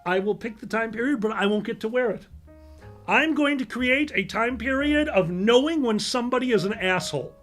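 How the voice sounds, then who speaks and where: A male comedy podcast host with a high, bright voice. He tells stories casually and emphatically, swearing freely, with music playing faintly. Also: brisk. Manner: animated, emphatic, profane. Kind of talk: comedic storytelling, casual banter.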